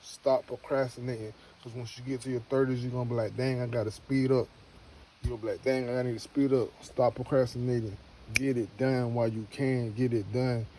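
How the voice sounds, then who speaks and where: A man's voice: mid-range voice, little pitch movement, fairly quick, darkish tone, slight background noise, rough recording. A man in his early thirties talking casually to camera, rambling and repeating himself, then giving an earnest motivational pep talk. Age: 30s. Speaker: homestead vlogger.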